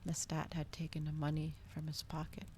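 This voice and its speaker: Soft whispery lady doing an asmr book reading, low voice, pretty flat delivery. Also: normal speed, slight background noise. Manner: soft, hushed, breathy, often slipping into whisper. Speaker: streamer reading a novel aloud.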